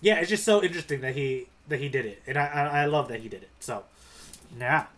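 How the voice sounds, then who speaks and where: A man with a somewhat high voice speaks as a podcast co-host. His delivery is casual and conversational, full of enthusiasm for the comic he is discussing. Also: fast talker, a bit bright. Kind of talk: casual manga discussion chat.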